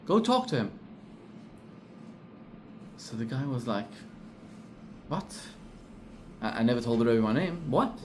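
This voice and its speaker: A middle-aged rabbi with a South African accent telling a story in a sermon, acting out the people in it and repeating words for emphasis. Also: male voice, medium pitch, unhurried, pauses often, slight background noise. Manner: animated storyteller, acts out quoted voices, repeats for emphasis.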